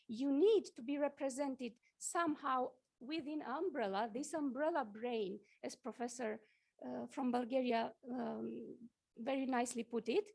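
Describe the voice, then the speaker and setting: A woman from Romania, giving a conference talk with slides, halting often with fillers and restarts. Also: high-pitched, creaky, a little mellow, slightly rough. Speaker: conference speaker. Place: conference hall.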